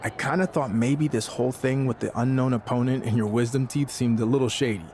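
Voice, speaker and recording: An actor playing an earnest, slightly wary champion wrestler in a plain-spoken, low-key way for a video game. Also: male voice, steady tone, fairly quick, a little mellow, slight background noise, lightly acted. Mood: hesitant, sincere. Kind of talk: naturalistic video game cutscene acting.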